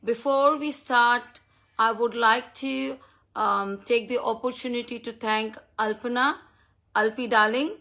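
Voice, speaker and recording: A woman in her fifties from India, whose first language is Hindi, talking earnestly on a live stream, often pausing on um and uh. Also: on the high side, steady tone, pushed and bright. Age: 50s. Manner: earnest and thankful, with frequent ums and uhs. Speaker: host of a live talk. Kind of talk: personal talk on a social media live stream. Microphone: phone or computer mic on a live stream.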